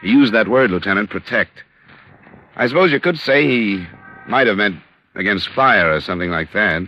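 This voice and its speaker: An actor playing a sober, dogged American insurance investigator in a 1950s radio drama, with a measured, matter-of-fact delivery. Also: male voice, on the low side, lively intonation, a little hiss or hum, natural voice. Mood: businesslike, insistent. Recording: dull, lo-fi old radio recording.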